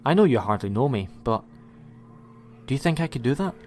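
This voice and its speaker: A man's voice: mid-range voice, sing-song, brisk, a little mellow, in a large hall, natural voice. A young man playing a cheeky, eccentric time-travelling hero in a science fiction audio drama. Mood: earnest. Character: cheeky, eccentric heroic male time traveller, bold wanderer.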